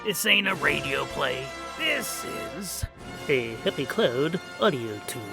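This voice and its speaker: A man announces a show like a parody of old radio. His voice is high and swings widely in pitch. Loud music plays along with him. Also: sing-song, slow, vocal fry.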